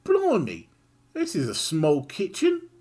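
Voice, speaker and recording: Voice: mid-range voice, wildly swooping pitch, slow pace, a little mellow, soft background music, pitched above the performer's own voice. A game player voicing a chatty adventuring companion in a raised, exclaiming voice. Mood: surprised.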